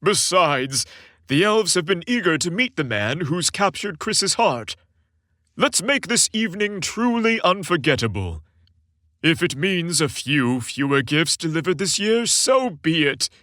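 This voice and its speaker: A booming old Santa Claus from a holiday storybook, acted out by a male narrator. He is jolly and larger than life, speaking with a very animated, swinging pitch in a dry, close recording. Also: unhurried, no echo, exaggerated voice. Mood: festive, commanding.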